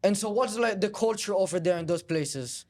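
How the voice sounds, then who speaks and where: A man's voice: high-pitched, moderate pace, darkish tone. A man hosting a casual debate, asking needling questions in a hesitant, stop-and-start way. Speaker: host, debater. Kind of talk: confrontational casual debate interview.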